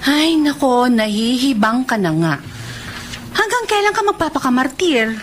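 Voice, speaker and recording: A woman's voice: sits high, sing-song, moderate pace, a little hiss or hum. A Filipino woman playing a concerned, outspoken young visitor who nags with disapproval, in a radio drama. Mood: exasperated, disapproving. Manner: disapproving, nagging.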